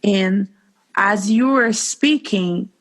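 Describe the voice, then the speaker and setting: A woman's voice: medium pitch, very slow. Woman hosting a podcast, enthusiastic, takes her time. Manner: enthusiastic, warm questioning. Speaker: podcast host. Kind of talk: interview.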